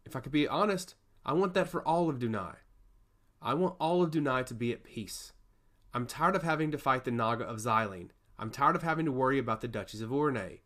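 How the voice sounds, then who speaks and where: A man's voice: mid-range voice, expressive, a little fast, fairly bright tone, lightly acted. Earnest, peace-loving young prince read by a man. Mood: yearning.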